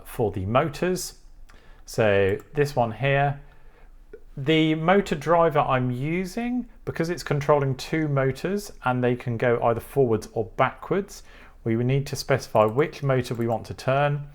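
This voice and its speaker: A man presenting a technical tutorial, explaining step by step in a methodical way. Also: mid-range voice. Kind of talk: explanatory programming tutorial, semi-scripted walkthrough.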